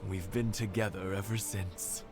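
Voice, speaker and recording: An actor playing a playful, sentimental elderly husband in a video game, telling stories in a halting way. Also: male voice, low-pitched, steady tone. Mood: fond, nostalgic. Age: older. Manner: slow, halting storytelling. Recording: loud game music behind.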